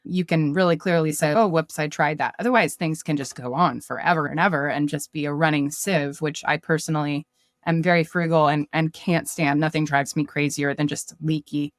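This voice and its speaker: A woman's voice: sits low, fairly even intonation, brisk, no room sound, clean studio sound. A woman speaking as a podcast guest in casual business chat, often voicing imagined quotes as she explains. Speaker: podcast guest, startup marketer.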